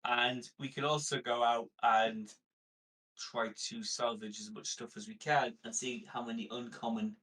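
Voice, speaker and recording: Husky man, a little off-mic. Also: medium pitch, flat delivery, unhurried, pushed and bright, far from the mic, poor audio. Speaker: player. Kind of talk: casual tabletop game discussion.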